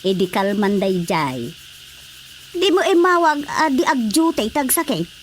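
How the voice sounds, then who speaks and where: Casting: a practical, chiding village wife, somewhat high pitch, Ilocano accent, radio comedy drama. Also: female voice, very animated, measured pace, slight background noise. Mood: gossiping, insistent. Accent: Filipino, Ilocano.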